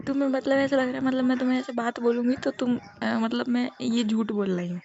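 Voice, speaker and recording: A woman's voice: on the high side, fairly even intonation, a little fast, vocal fry, darkish tone. A young woman talking casually with her partner on a phone call. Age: young adult. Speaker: girlfriend making a prank call. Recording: dull phone call recording, faint noise.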